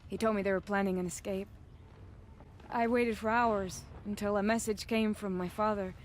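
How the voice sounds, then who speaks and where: A woman's voice: medium pitch, steady tone, moderate pace, a little hiss or hum, close to an everyday voice. Actress playing a proud, defiant noblewoman hunter. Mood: grieving, bitter. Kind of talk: video game dramatic acting.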